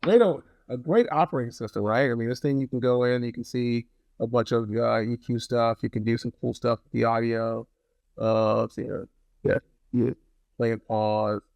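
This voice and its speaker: A man with a low-pitched, creaky voice talks through a casual hands-on product review. His delivery is conversational, and he repeats phrases to drive points home. The sound is dry and close. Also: fairly even intonation, normal speed, darkish tone, no echo.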